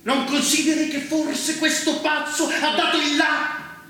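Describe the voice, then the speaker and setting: An actor playing a ruthless, self-righteous warlord in a dystopian stage drama, speaking in grandiose, taunting phrases with dramatic pauses. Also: male voice, very high-pitched, monotone, exaggerated voice. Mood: heated, accusing. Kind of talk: intense theatrical stage acting. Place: theatre stage, recorded from afar. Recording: echoey theatre, distant mic, loud noise.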